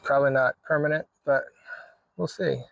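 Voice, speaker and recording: A man's voice: medium pitch, fairly even intonation, moderate pace, vocal fry, a bit bright, dry recording, lo-fi sound. A man narrating a hands-on DIY vlog in an informal way, thinking aloud as he works. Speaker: video creator showing a DIY radio install.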